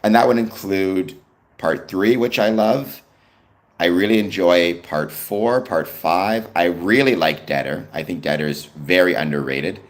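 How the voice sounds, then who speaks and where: A man's voice: sits low, unhurried. A young Canadian man with a Canadian accent hosts his live stream in easygoing chat, answering viewers' comments with frequent ums. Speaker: livestream host.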